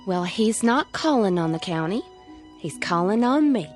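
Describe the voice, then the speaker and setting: A woman's voice: mid-range voice, animated, normal speed, darkish tone, faint music behind, natural voice. A woman playing a stubborn, nervous young rural American sweetheart in a radio drama. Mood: defiant. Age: young adult.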